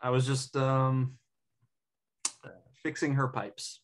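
A man's voice: mid-range voice, level tone, takes it slowly, close to an everyday voice. A man playing a sheepish, easygoing best friend in a sitcom table read, hesitant and joking. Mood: evasive. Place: dim indoor room at home on video call. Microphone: video call.

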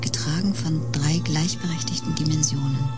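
Music plays clearly behind a low-voiced woman acted by an actress. She broods wistfully in a poetic radio-play monologue, half whispering and breathy. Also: steady tone, moderate pace, close to an everyday voice. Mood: wistful, brooding. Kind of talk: poetic audio drama monologue.

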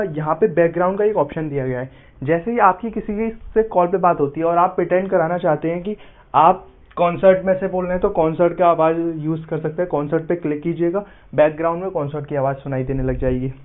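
A man's voice: a little high, fairly quick, phone-quality sound. A man giving an app tutorial voice-over as a YouTube host, often addressing his viewers as friends.

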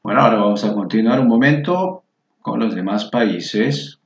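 A man's voice: lowish voice, lively intonation, moderate pace. A man teaching a Spanish lesson over a screen recording, patiently spelling out each word form aloud. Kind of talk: slow screen-share lesson walkthrough. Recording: roomy, dull lo-fi recording.

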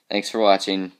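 A man walking through how he built his game levels, talking casually with a groggy, raspy voice because he has just woken up, often trailing off into quiet mumbles. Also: deep voice. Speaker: level creator showing his game work. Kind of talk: casual screen-capture walkthrough.

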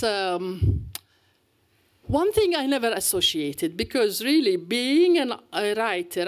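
Older woman lecturing at a podium. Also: medium pitch. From Palestine. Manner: anecdotal and animated, with gestures. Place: lecture hall. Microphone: podium microphone.

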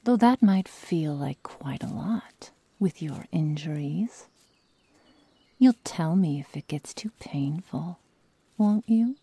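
Slow, breathy sadistic werewolf girl, acted by a woman. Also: sits low, animated, exaggerated voice. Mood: falsely tender. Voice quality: breathy, often whispered. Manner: slow, soft, mock-tender menace. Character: sadistic young female werewolf, coldly teasing and menacing. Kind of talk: ASMR roleplay, whispered and close. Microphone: close microphone, dry sound.

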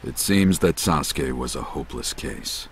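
A man voicing a gruff older ninja mentor in an anime game, husky, frank and authoritative. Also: extremely low pitch, animated, moderate pace, slight background noise. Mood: serious, frank. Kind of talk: English anime game voice acting.

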